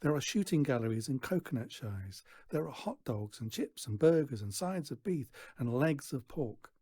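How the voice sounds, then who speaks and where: An expressive storyteller reads a children's novel aloud. The voice is husky and very lively in pitch, moving with animation through the prose. The sound is dry and close. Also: moderate pace, dry recording. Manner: animated, acts out dialogue. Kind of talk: expressive scripted narration.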